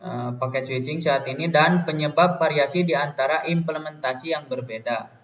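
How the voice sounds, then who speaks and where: A young man giving a student presentation from prepared notes in a halting manner. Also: a little high, fairly bright tone. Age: young adult. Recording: dull, distant, roomy, faint noise.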